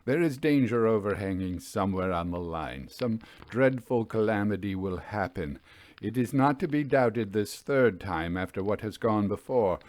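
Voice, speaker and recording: A man voicing a troubled, haunted railway signal-man, earnest and deferential, in a halting, deliberate dramatic ghost-story reading. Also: fairly low pitch, darkish tone, no room sound.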